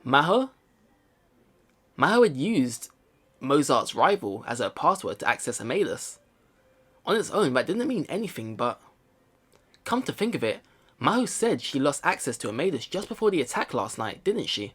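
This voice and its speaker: A man's voice: fairly high pitch, sing-song, moderate pace, long pauses, a bit bright, husky. A man reading a visual novel aloud and commenting as he plays, thinking aloud in a chatty way. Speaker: let's player. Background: visual novel game music.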